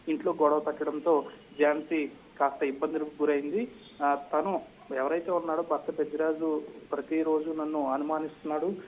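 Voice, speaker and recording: A man giving a live news report as a field correspondent, over a narrow phone-like connection. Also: fairly high pitch. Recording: narrow phone-like line, faint noise.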